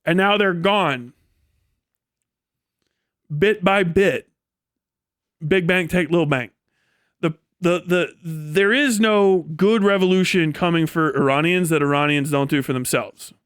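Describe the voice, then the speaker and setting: A man's voice: on the high side, unhurried, long pauses, fairly bright tone, clean tone, dry recording. Male streamer, clean resonant voice in a very dry space, riffing on politics with blunt, dismissive, profane sarcasm. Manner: sardonic. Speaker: live streamer. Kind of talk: off-the-cuff political commentary on a livestream.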